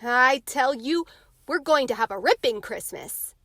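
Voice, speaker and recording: A woman's voice: sits high, expressive, unhurried, bright, forceful tone, no echo, studio quality, voice pushed up, exaggerated voice. A woman voicing a confident, eager ten-year-old boy in an emphatic, earnest storybook read-aloud. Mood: confident scoffing. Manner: emphatic, childishly earnest and boastful.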